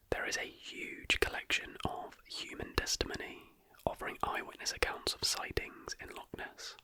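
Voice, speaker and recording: ASMR narrator, fully whispered and breathy, crisp bright edge, careful scripted delivery. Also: very high-pitched. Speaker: ASMR host.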